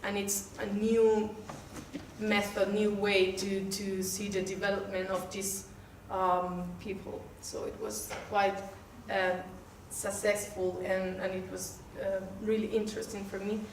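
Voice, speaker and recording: A woman's voice: mid-range voice, level tone, unhurried. Spanish-accented woman in a roomy, distant recording. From Malaga, southern Spain. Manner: hesitant, searching for words. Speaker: workshop participant. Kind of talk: informal self-introduction to a group. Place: echoey room. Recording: roomy, distant mic, faint noise.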